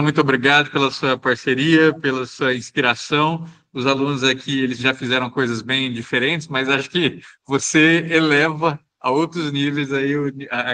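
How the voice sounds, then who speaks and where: A man's voice: medium pitch, bright, forceful tone. A man from Brazil teaching an online class, talking casually with many hesitations and restarts. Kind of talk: casual spoken class discussion.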